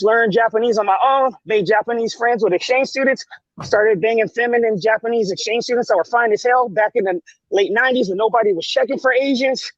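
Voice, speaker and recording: A man's voice: extremely high pitch, no room sound. A man in his forties calling in to a livestream, laid-back and blunt with some profanity. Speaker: caller. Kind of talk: casual call-in chat. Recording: dry, dull lo-fi call audio.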